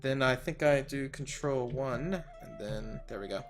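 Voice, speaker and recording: Slightly hoarse man thinking aloud. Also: mid-range voice, unhurried, soft background music. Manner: thinking aloud, trailing off, self-correcting. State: slightly hoarse, losing his voice. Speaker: let's player. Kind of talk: casual strategy game commentary.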